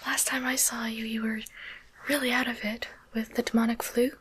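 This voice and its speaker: A woman playing a softly teasing, curious demon doctor in a coaxing, deliberate whisper for an ASMR roleplay, close to the microphone. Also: a little high, level tone, slight background noise, close to an everyday voice. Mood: teasing, confiding.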